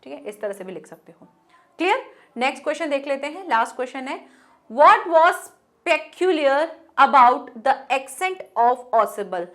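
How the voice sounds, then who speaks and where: A woman teacher, teaching an online class. She dictates answers slowly as she writes, then explains them in Hindi mixed with English. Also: high-pitched, animated. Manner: slow dictation while writing, mixing Hindi and English.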